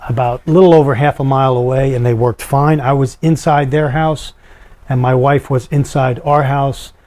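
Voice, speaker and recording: Mellow middle-aged man explaining gear plainly. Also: medium pitch. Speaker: product reviewer. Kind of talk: unscripted product unboxing demonstration.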